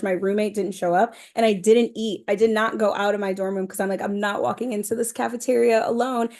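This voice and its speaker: A woman speaking as a podcast guest, candid and expressive, telling personal anecdotes in casual conversation. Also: mid-range voice.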